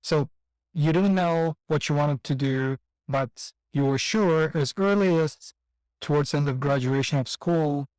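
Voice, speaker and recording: A man hosting a podcast interview, asking searching questions in a halting way. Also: a little high, darkish tone, clean tone, dry recording, rough recording. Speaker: podcast host. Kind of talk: casual interview chat.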